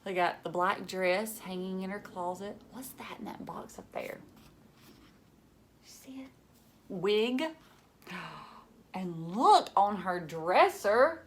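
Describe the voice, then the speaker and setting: A woman's voice: mid-range voice, lively intonation, slow, pauses often, a little hiss or hum. A woman reading a picture book aloud to children, theatrically, with questioning asides to the young listeners.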